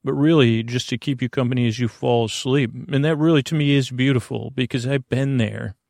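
A man hosting a bedtime podcast with a creaky voice, meandering gently and hesitantly through soothing, tangent-filled reassurance. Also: medium pitch, steady tone, brisk, no echo, studio quality. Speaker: host of a bedtime sleep podcast. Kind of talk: rambling, soothing bedtime monologue.

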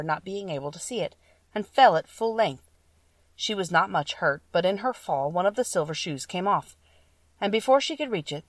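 A woman narrating a children's story from a script in an expressive storytelling manner. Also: on the low side, no echo, distant mic. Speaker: audiobook narrator.

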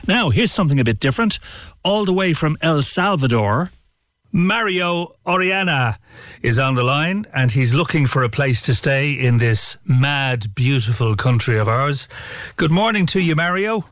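Irish radio host, dry studio sound. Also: male voice, mid-range voice, expressive, normal speed, no room sound. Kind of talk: live phone-in interview.

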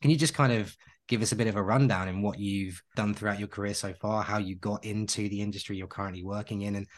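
A man's voice: on the low side, steady tone, fast. A man co-hosting a podcast, chatting casually and enthusiastically, piling on praise and rambling through restarts. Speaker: podcast co-host.

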